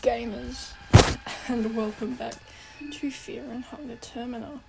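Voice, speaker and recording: A woman's voice: fairly high pitch, fairly even intonation, a little slow, vocal fry, very noisy. A woman with a cold and a stuffy nose, rambling casually through a game guide, swearing and restarting her sentences. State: congested from a cold. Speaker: gaming guide host.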